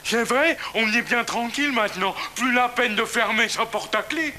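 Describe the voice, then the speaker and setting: Very high-voiced chatty cartoon animal. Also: male voice, moderate pace, sharp tone, faint music behind, full character voice. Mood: relieved. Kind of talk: French children's cartoon voice acting.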